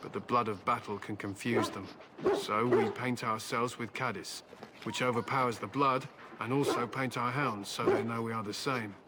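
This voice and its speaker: An actor playing a grim, proud warrior who fights alongside hounds in a video game, speaking in solemn declarations. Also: male voice, medium pitch, fairly even intonation, moderate pace, very noisy, natural voice. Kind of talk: scripted video game character dialogue. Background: loud game music.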